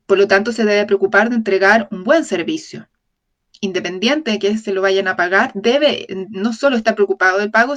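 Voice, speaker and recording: A woman voicing a lecture for an interpreter, speaking in a measured way, often correcting herself mid-phrase and checking in with the audience. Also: medium pitch, a bit bright. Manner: measured, with frequent self-corrections and tag questions to the audience. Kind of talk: voiced-over lecture in an open talk series.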